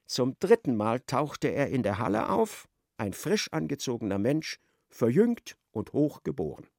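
An actor narrating a literary radio play as a wry, expressive storyteller. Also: male voice, medium pitch, measured pace, gravelly, no echo, clean studio sound, heavily acted.

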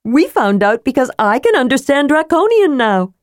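A woman voicing a feisty, impatient young female fighter who speaks up insistently and pitches her voice high. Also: no echo, studio quality. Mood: urgent. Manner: insistent, excited, high and quick to object.

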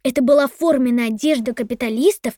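An actress playing a dutiful woman reciting a party history textbook in a deliberate, didactic audio-drama manner. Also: high-pitched, warm tone, no room sound, studio quality. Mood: solemn, didactic.

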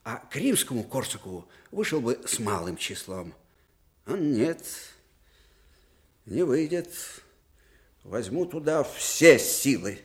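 An actor playing a shrewd, fervent elderly military commander in a radio drama, with emphatic, theatrical delivery that shifts abruptly. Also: male voice, mid-range voice, very animated, slow, long pauses. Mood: grave, wary. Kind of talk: Soviet radio drama acting.

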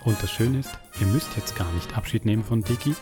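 A male podcast host with a lowish voice. He addresses listeners directly and informally over clearly audible music. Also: a little fast. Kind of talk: casual scripted podcast presenting.

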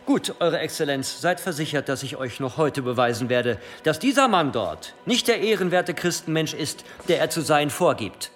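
An actor playing a scheming cathedral provost who prosecutes in a formal, insinuating manner in a historical radio drama. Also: male voice, fairly high pitch, animated, moderate pace, few pauses, fairly bright tone, soft background music. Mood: indignant, insinuating. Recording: long hall reverb, faint noise.

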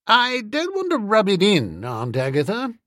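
A man's voice: high-pitched, huge pitch swings, moderate pace, no echo, studio quality, voice pushed up, exaggerated voice. A man playing an indignant, breezy young upper-class Englishman in a storybook, with a posh British accent and slangy, emphatic talk. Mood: reproachful.

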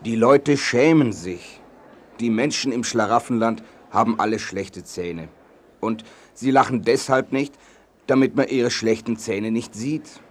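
Ein Schauspieler spricht einen gütigen, besorgten und etwas naiven Märchenkönig in einem Kinderhörspiel, bedächtig und theatralisch staunend. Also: male voice, medium pitch, unhurried, lightly acted. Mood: worried. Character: kindly, worried, naive king of a fairy-tale land. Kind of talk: children's audio drama.

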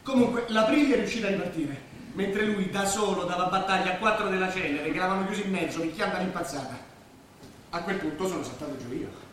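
A man acting on stage as an excitable working-class Roman who tells street stories vividly and mimics the people he quotes. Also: sits high, fast. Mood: vivid storytelling. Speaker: stage actor. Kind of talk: theatrical stage drama dialogue. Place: theatre stage, recorded from afar. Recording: echoey stage, distant mic, faint noise.

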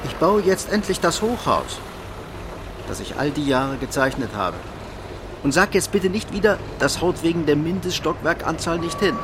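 A man's voice: fairly high pitch, animated, normal speed, background noise, natural voice. An actor playing a weary, resolute middle-aged man in a German radio drama. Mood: dry, resigned.

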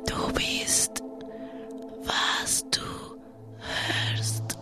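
A woman speaking a scripted radio ident in a whispery, drawn-out way. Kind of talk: slow, whispery station ident. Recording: distant whisper under very loud ambient music. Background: very loud new-age music.

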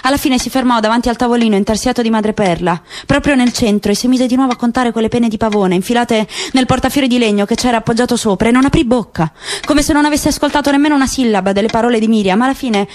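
A woman narrating a literary work on the radio in a measured, expressive way. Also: a little high. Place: radio studio.

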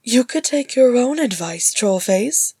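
Woman as a high-pitched, sharp-tongued dwarf sister. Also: animated, measured pace, breathy edge, no room sound, full character voice. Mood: dry, scolding. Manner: dry, teasing, bossy. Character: sharp-tongued female dwarf, teasing young mother and sister.

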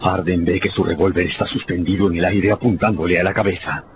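A man's voice: sits low, fairly even intonation, fast talker, noisy background. A man narrating a scripted story for radio in a dramatic storytelling style. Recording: dull sound with music behind.

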